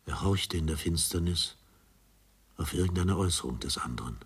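A man narrating a dark literary radio drama in a grave, measured and precise manner. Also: low-pitched, husky, full character voice.

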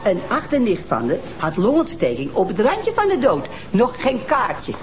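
A bitter old aggrieved sister. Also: female voice, medium pitch, wildly swooping pitch, a little hiss or hum. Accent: Dutch. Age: older. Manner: self-pitying, aggrieved, drawn-out complaining. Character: bitter elderly woman, complaining sister. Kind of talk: TV detective drama.

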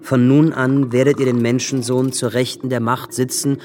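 An actor playing a calm, authoritative prophet in a radio drama, solemn and measured. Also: male voice, medium pitch, slight background noise, natural voice. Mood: solemn, prophetic.

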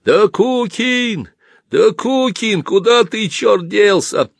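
A man voicing a loud, hot-tempered young village soldier, bawling and grumbling colloquially in an audiobook. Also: high-pitched, expressive, slow pace, gravelly, no room sound, clean studio sound, voice pushed up, exaggerated voice.

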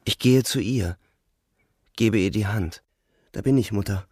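An actor playing a young, weary, shaken soldier on leave, narrating his thoughts in a halting, introspective radio-drama manner. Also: lowish voice, darkish tone, breathy edge, dry recording, studio quality. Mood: choked, shaken. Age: young adult. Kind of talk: radio drama, first-person interior narration.

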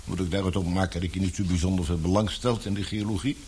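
Older knighted boss in a radio play, low voice, fatherly but bossy, lecturing, slight hiss. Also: fairly even intonation, fast, darkish tone. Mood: businesslike. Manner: avuncular, commanding, lecturing. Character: paternal, authoritative older male newspaper boss, a knight. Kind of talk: radio drama acting.

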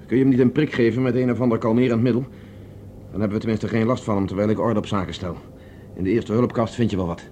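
An actor playing Tony, a resourceful man escaping Mars, who speaks calmly and decisively and gives short orders. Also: on the low side, fast talker, slight background noise, lightly acted. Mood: steady. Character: Tony, resourceful male space fugitive. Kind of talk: Dutch radio play acting.

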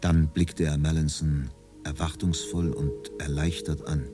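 The storyteller of a radio play, reading in a low voice over clear music. The delivery is sober, composed and measured, like scripted literary narration. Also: male voice, steady tone.

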